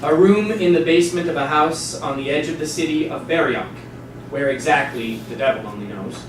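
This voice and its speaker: A man announces scenes and stage directions in a measured, fairly high voice. He is heard from a distance in a very echoey hall, as scripted narration for a play reading. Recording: echoey hall from far off, noisy.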